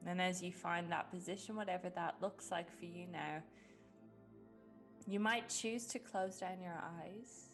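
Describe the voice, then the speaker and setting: A woman leading a guided meditation in a gentle, unhurried way with long pauses. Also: lowish voice, steady tone. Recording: music behind the voice. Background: background music.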